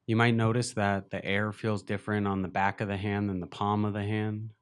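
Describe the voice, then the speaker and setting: Meditation teacher, male, low smooth voice, gentle and unhurried guidance. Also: little pitch movement. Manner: slow, gentle, unhurried guidance with long pauses. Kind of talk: guided meditation.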